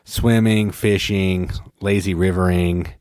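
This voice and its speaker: A man's voice: deep voice, steady tone, slow, a little mellow, no echo, clean studio sound. A man co-hosting a podcast, explaining game rules in casual chat, rambling and correcting himself. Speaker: podcast co-host.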